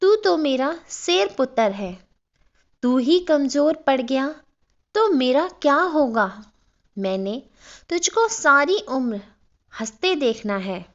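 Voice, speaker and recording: Female narrator as loving farmer dad in a storybook tale: consoling, unhurried, high-pitched, slightly dull recording. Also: sing-song, heavily acted. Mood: tender consoling. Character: tender, protective village farmer father. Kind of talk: storybook character dialogue in narration. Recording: dull, lo-fi sound.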